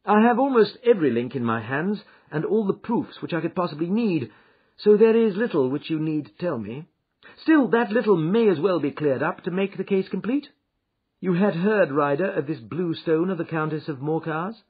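Commanding sharp detective, brisk and lively, husky tone, voiced by a male reader. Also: a little high, pitched above the performer's own voice. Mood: stern accusing. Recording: dull lo-fi recording.

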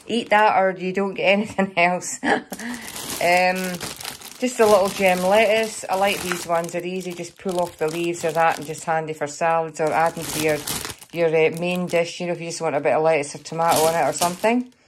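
Chatty Scottish woman, slightly hoarse. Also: lowish voice, fairly even intonation, fairly quick, noisy background. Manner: chatty, rambling, with fillers and small laughs. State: slightly hoarse, losing her voice. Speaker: vlogger showing a grocery haul. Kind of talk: casual chat to camera. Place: kitchen counter at home, indoors.

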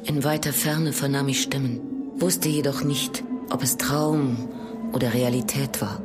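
An actress playing a grave, fearful young village woman in a horror radio drama, in a hushed, sorrowful manner. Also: a bass voice, steady tone, a little slow. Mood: grave, haunted. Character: sorrowful young village woman recounting her family's doom. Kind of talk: German radio drama narration and dialogue. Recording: music behind.

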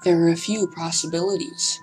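A woman's voice: fairly low pitch, fairly even intonation, fairly quick, a little mellow, soft background music, poor audio. A woman narrating a scripted amateur documentary, her delivery a bit hesitant and breathless at times.

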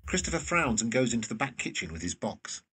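A man with a husky voice reading scripted stage directions as narrator of a drama. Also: medium pitch, very animated, quick pace, pushed and bright, recorded from a distance.

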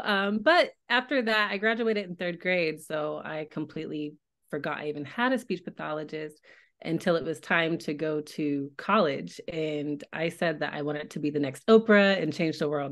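An American woman telling her story casually as a podcast interview guest. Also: low voice. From Tulsa, Oklahoma, United States. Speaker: podcast guest, speech-language pathologist.

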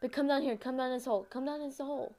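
A woman chatting casually while playing a game, excitable and given to exclaiming. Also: high voice, fast. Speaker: gamer. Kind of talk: casual gameplay chat.

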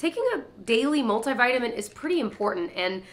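A woman's voice: on the high side, lively intonation, fast. A young woman vlogger chatting casually to camera, upbeat and laughing often, her voice nasal from a stuffy nose. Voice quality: nasal from congestion. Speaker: lifestyle vlogger.

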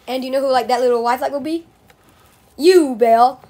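A woman voicing a boastful, pushy male suitor in an amateur comedy dub, with slangy, halting speech. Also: sits high, warm tone, lightly acted. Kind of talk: amateur comedic voiceover dub of an animated film.

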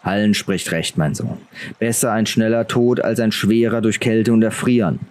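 Man acting as a stern low-voiced noble father. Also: fairly even intonation, normal speed, natural voice. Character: stern, grave noble lord and father, middle-aged man.

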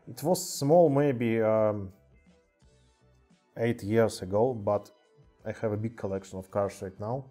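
A man's voice: on the low side, warm tone, soft background music. Russian-accented man chatting on stream. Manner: halting non-native English with many fillers. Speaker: streamer showing toy car collection. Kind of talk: casual live stream chat. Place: indoor room with cluttered table. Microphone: webcam mic.

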